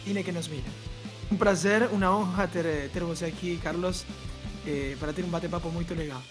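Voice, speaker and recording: A man from Argentina with an Argentine Spanish accent, speaking Portuguese as a guest on a casual podcast chat, friendly and pausing on "eh" fillers. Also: fairly high pitch, fairly even intonation, music in the background. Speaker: podcast guest, film writer.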